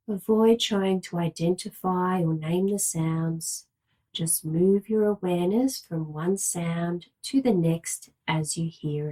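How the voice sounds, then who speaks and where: Female yoga nidra guide: slightly low register, unhurried, very slow delivery, measured and soothing. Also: warm tone, poor audio. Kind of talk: guided relaxation meditation, slow instructions with long pauses.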